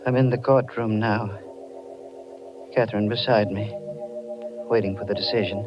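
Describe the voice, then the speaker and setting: A man playing a guilty, anguished husband with halting, dramatic delivery in 1940s radio style. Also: lowish voice, steady tone, natural voice. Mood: tense. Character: anguished guilty husband confessing to hit-and-run. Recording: muffled 1940s radio recording, music behind.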